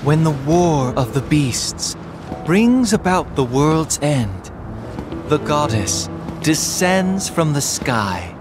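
Calm villain in a video game, slow poetic prophecy talk, all solemn, music behind. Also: male voice, a little high. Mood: solemn, reverent. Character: calm, theatrical male villain reciting prophecy. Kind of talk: dramatic video game voice acting.

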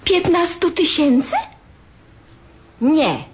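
High-voiced sardonic partner. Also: female voice, big pitch swings, extremely slow, exaggerated voice. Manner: dry, sarcastic, pointed questions. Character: exasperated, sardonic woman, partner of a gambler. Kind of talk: radio drama acting.

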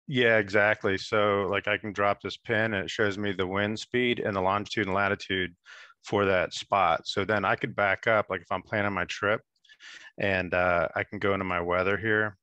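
A man in his thirties talking through a screen-share software demo on a video call, relaxed and explanatory with many fillers. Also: on the low side, normal speed, no room sound, highs cut off. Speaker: app demonstrator.

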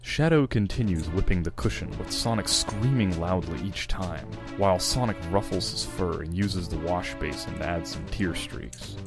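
A man's voice: sits low, normal speed, few pauses, music in the background. A man narrating fan fiction, reading out the stage directions.

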